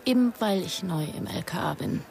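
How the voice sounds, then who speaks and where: A woman's voice: lowish voice, lively intonation, brisk, gravelly, darkish tone, slight background noise. A woman playing a determined, guarded police investigator in a German radio crime drama, firm and matter-of-fact. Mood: pointed. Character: determined, guarded female police investigator, new to her job.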